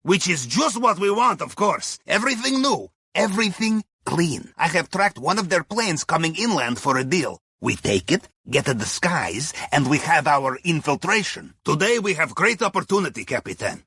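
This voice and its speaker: Husky, high-pitched Russian heist planner. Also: male voice, very animated, moderate pace, hardly any pauses, pushed and bright, no room sound, studio quality, heavily acted. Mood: brisk, matter-of-fact. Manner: theatrical, clipped phrasing with dropped articles. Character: jovial, wily Russian submarine captain's heist planner. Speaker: mission guide in a video game. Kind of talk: video game character dialogue, mission briefing.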